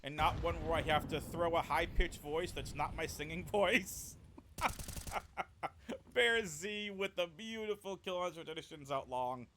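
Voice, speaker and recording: Excitable male esports caster, bright voice. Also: measured pace, a little hiss or hum. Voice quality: deep voice. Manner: excitable, builds to shouted peaks on big plays, chats with viewers. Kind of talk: solo play-by-play esports commentary.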